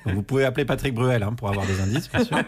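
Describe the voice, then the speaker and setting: A man's voice: medium pitch, very fast, breathy edge. Chill guy on funny radio show, chuckles while he reads quiz jokes. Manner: chuckling, easygoing. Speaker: radio comedian. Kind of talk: comic radio banter.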